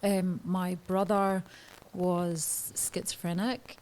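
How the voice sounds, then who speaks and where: A woman's voice: fairly low pitch, flat delivery, slow pace, a little mellow. A woman in her thirties, a podcast guest talking candidly and hesitantly, with frequent ums and laughs. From Scotland. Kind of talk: podcast interview.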